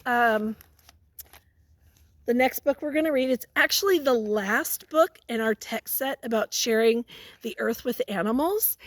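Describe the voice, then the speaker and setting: A woman's voice: sits high, dry recording. A woman teacher reading a picture book aloud to young children, stopping to ask them questions and prompt them. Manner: pauses to question and prompt listeners.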